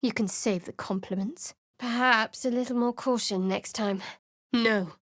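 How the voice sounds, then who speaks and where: An actress voicing a guarded, sharp-tongued young female cleric in a video game, with a dry, clipped delivery. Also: on the high side, fairly even intonation, measured pace, no room sound. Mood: guarded, wry. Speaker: game character.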